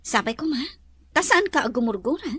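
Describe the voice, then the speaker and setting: A woman's voice: sits high, big pitch swings, fairly quick, a bit bright, slightly rough, dry recording, full character voice. A woman playing a well-off young madam in a romantic Ilocano audio drama. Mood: gentle, apologetic.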